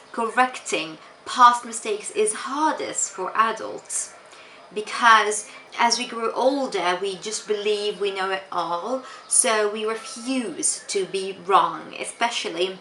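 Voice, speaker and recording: A young woman from Tunisia, not a native English speaker, working as an English teacher and answering interview questions in a measured, explanatory way with frequent ums. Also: mid-range voice, sharp tone. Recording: echoey room with faint noise.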